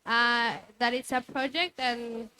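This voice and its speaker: A woman's voice: fairly high pitch, fairly even intonation, slow, sharp tone, a little hiss or hum, poor audio. A woman interpreting into English on a panel, hesitant and using many fillers. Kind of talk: live interpreting.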